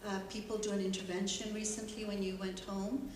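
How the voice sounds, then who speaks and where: A woman moderator poses her questions hesitantly, with many pauses and "uh"s. Her voice booms through a very echoey hall. She is far from the microphone, with a faint hum underneath. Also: flat delivery, darkish tone. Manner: hesitant, frequent "uh". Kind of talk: panel moderation.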